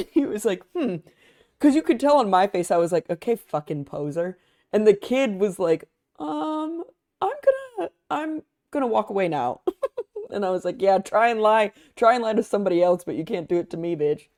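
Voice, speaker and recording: A woman's voice: mid-range voice, big pitch swings, normal speed, a little mellow. A young woman from Chicago telling a story in a casual podcast chat, often laughing, swearing and acting out what people said. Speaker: podcast co-host.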